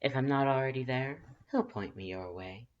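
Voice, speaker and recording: A flirtatious, playful male skeleton put on by a story reader, purring and teasing like a smooth talker. The voice belongs to a fan-fiction audio story and sounds slightly muffled from a lo-fi recording. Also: mid-range voice, normal speed, pitched above the performer's own voice, natural voice. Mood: teasing, flirty.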